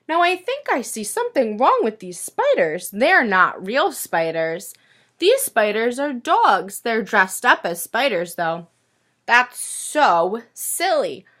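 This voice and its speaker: Slow, animated woman reading to children. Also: a little high. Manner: expressive, sing-song counting, addresses listeners with questions. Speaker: teacher reading aloud to young children. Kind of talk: children's picture book read-aloud with asides and questions.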